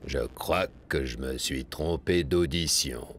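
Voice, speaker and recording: A man voicing a slow, mild microbe character with a ponderous manner in a French animated cartoon dub. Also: very deep voice, loud music behind the voice, heavily acted. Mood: mild, sincere.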